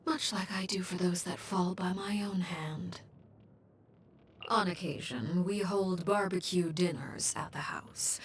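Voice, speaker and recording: A woman playing a stern, composed and coldly menacing female leader in a video game, speaking in a measured, formal way with a breathy, hushed voice. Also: fairly low pitch, a little hiss or hum. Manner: measured, formal, deliberate, coolly restrained. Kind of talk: scripted video game character voice lines.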